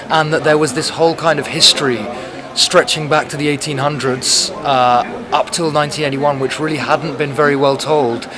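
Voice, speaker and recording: A man in his thirties, an author being interviewed, talking casually and thoughtfully with many fillers. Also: on the high side. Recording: crowd chatter behind.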